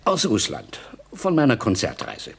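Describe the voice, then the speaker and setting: An actor playing an older musician and father in a radio comedy, gallant, sardonic and dryly witty, with a husky, theatrical delivery. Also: on the low side, huge pitch swings, unhurried, full character voice. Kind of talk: stage comedy radio acting.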